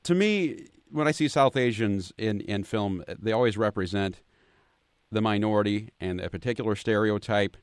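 A man hosting a radio talk show in a studio, introducing a guest and asking questions in a measured way. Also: fairly low pitch, clear, resonant tone, no room sound. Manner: measured, some restarts. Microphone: studio mic.

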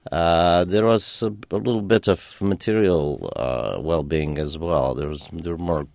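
Very deep-voiced reflective man on radio. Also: vocal fry, a little mellow, dry recording. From Romania. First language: German. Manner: reflective, halting, many fillers. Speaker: radio guest, poet. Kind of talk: call-in radio interview.

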